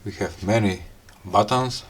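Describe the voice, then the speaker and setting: A man with a slightly low voice walks through a product unboxing at a very slow pace. His delivery is casual and halting, broken into small pieces as if talking to himself. Some background noise sits behind the voice. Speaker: gadget reviewer, host.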